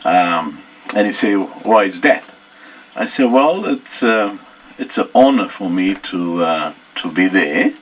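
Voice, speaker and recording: Deep, husky pastor with halting speech. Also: male voice, expressive. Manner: halting, searching for words in English. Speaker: pastor, radio guest. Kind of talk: radio interview. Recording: muffled, distant radio studio recording.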